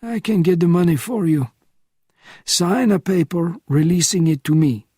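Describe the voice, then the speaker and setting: Guy doing a wry elderly french fella from a spy audiobook, voice pitched up, urbane and dry. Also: normal speed, a little mellow, slightly rough, no echo. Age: 70s. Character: elderly wry Frenchman, shrewd old ally.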